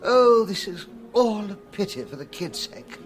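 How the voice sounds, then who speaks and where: A man's voice: high voice, very animated, measured pace, faint music behind. An actor playing a breezy, discreet, gossipy male informant with a British accent, knowing and obliging, in a radio drama.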